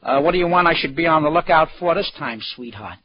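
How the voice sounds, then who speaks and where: An actor playing a slangy, streetwise auctioneer in a 1940s radio crime drama. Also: male voice, fairly high pitch, expressive, quick pace, noisy background, natural voice. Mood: brash, joking. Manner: colloquial slang, calls people sweetheart. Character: slangy, wisecracking Broadway auctioneer, streetwise man. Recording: dull, lo-fi old radio recording.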